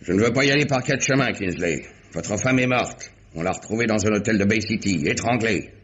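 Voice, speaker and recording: Husky, low-voiced, brutal police lieutenant. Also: male voice, a little fast, fairly bright tone. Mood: bitter, impatient. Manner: tough slangy talk, curt and threatening. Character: brutal, sneering, impatient male police lieutenant. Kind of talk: French radio crime drama acting. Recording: dull vintage radio recording.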